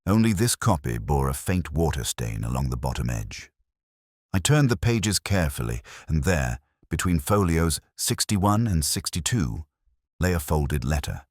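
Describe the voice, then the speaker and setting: A man playing a brooding, melancholy police inspector who narrates a detective story in a measured, literary, solemn manner. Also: very deep voice, big pitch swings, no room sound, studio quality, full character voice. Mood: reflective. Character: brooding, melancholy male English police inspector recounting a case. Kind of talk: scripted first-person detective story narration.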